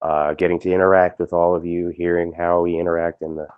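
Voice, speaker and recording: A man chatting as an interview guest, speaking earnestly and haltingly over a lo-fi voice call. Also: low-pitched, little pitch movement, smooth, dry recording. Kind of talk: casual interview chat. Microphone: online voice call. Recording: dull lo-fi voice call.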